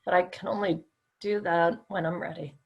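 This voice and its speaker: A woman's voice: fairly low pitch, steady tone, moderate pace, muffled recording. A woman with a crackly voice, a client repeating phrases back during a tapping session. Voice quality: crackly, raspy voice.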